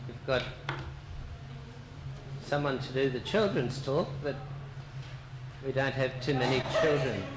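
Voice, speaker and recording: A man leads liturgical prayers in an unhurried, steady cadence, at a middle pitch. The sound is roomy and echoing, with a noticeable murmur of background noise. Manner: slow, measured recitation. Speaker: priest leading the service. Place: church. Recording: echoey church with background noise.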